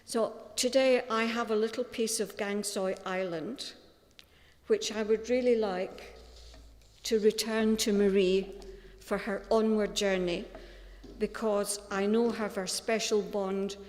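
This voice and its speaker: A woman with a Scottish accent giving a gentle, storytelling tribute at a funeral. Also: medium pitch, fairly even intonation, slow pace. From Scotland. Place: funeral chapel. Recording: long reverb of a large hall.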